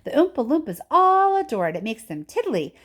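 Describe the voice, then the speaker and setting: A woman's voice: high-pitched, very animated, fairly quick, a bit bright, voice pushed up. A woman voicing an eccentric, impatient and boastful male candy-factory owner in an exclamatory storybook reading. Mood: excited. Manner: exclamatory, theatrical. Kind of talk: children's storybook character reading.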